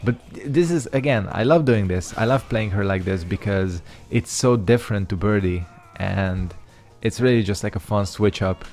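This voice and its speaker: A man's voice: fairly low pitch, normal speed, hardly any pauses, a little mellow, soft background music. A young man whose first language is Hungarian, casually rambling over his own fighting game matches with loose slang and lots of fillers. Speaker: gaming channel host. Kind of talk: casual commentary over gameplay.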